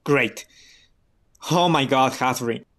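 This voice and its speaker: A man's voice: medium pitch, slow, a bit bright. Man acting an earnest, disillusioned activist. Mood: reproachful. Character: disillusioned, principled male activist turned informant from El Salvador. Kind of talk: radio play acting.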